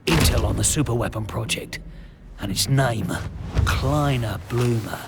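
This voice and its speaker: Gravelly, determined army captain. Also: male voice, mid-range voice, unhurried, heavy background noise. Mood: wary, concerned. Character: dry, determined male Allied army captain saboteur. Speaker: game protagonist. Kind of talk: video game monologue, thinking aloud.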